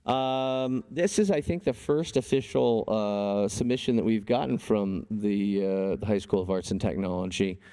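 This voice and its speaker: A man's voice: on the low side, normal speed, few pauses, mellow, clean tone. Upbeat man hosting a live event. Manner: upbeat, hypes the crowd. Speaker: event host.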